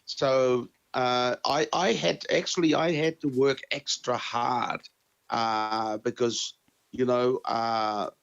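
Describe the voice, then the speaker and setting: A middle-aged man from Tonga with a Tongan accent, English being his second language, answering interview questions in an earnest, halting way that restarts and repeats phrases. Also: mid-range voice, steady tone, gravelly, fairly bright tone. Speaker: interviewee sharing his university experience. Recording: dull lo-fi recording.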